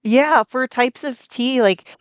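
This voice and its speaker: A woman hosting a podcast who interviews a guest in a chatty, friendly way with quick asides. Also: high-pitched, smooth, no room sound. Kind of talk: casual interview chat. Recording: dry, dull lo-fi sound.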